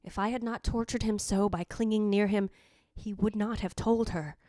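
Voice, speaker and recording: A woman's voice: medium pitch, fairly even intonation, moderate pace, dark tone, no room sound, clean studio sound, lightly acted. A woman playing the jealous ghost of a dead wife, narrating in a theatrical, literary, anguished way in old-time radio style. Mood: bitter, stunned.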